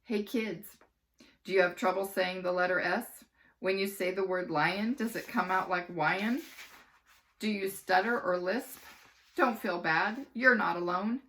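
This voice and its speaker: A woman's voice: medium pitch, unhurried, fairly bright tone, natural voice, poor audio. A woman reading aloud, voicing a cheerful, zany female speech teacher with a chirpy sing-song delivery. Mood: chirpy sales pitch.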